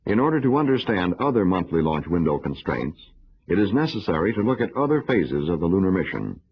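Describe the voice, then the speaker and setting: A dull, lo-fi film soundtrack carries a man's low, husky voice. He narrates an educational film from a script, formal and measured, explaining each point in an instructional way. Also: sing-song.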